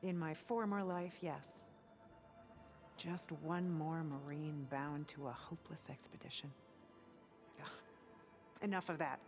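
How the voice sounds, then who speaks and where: A low-pitched female convert from a video game. She is devout and earnest, with a reverent, wistful way of talking that hesitates at times. Faint music plays underneath. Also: fairly even intonation, unhurried, long pauses. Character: earnest, devout woman cult convert, former marine, wavering. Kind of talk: video game cutscene acting.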